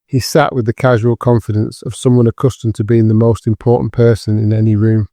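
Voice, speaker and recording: A man's voice: mid-range voice, level tone, a little fast, dark tone, dry recording, clean studio sound. A man reading scripted narration for an audio drama.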